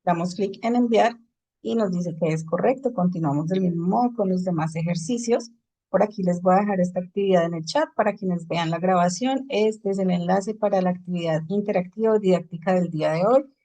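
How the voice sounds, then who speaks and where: A woman's voice: lowish voice, a little fast, dark tone, rough recording. Woman teaching an English class. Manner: explains in Spanish, switches to English for examples. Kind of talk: online class lecture.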